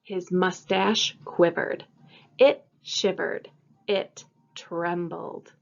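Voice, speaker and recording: A woman's voice: fairly low pitch, steady tone, extremely slow, gravelly. A woman who teaches first grade, reading a picture book aloud expressively for children. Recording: dull lo-fi audio.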